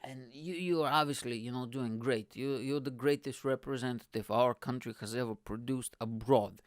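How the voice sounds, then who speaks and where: A man's voice: medium pitch, normal speed, no room sound. A man in his thirties with a Bulgarian accent, hosting his own podcast in a rambling, joking monologue from a small home room into a desk mic. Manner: animated, gesturing a lot, joking and rambling. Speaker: podcast host. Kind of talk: casual comedic monologue. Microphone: studio mic on desk in front.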